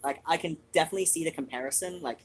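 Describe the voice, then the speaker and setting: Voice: medium pitch, racing, a little hiss or hum, rough recording. A streamer chatting casually to viewers in a rambling, digressive way.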